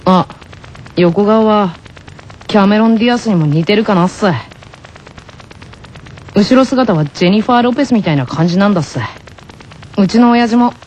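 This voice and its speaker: A woman voicing a gentle, teasing teenage tennis boy in an anime radio skit, speaking with playful deliberation. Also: mid-range voice, moderate pace, pauses often, a little hiss or hum, natural voice. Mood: playful mimicry.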